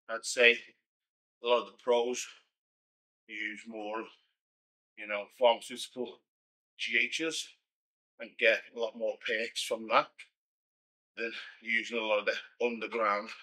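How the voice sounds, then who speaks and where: Blunt Scouse man, bright voice. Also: lowish voice, rough recording. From Liverpool, England. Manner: blunt, conversational, frequent "you know" and restarts. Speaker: pro bodybuilder hosting a Q&A. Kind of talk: casual monologue Q&A.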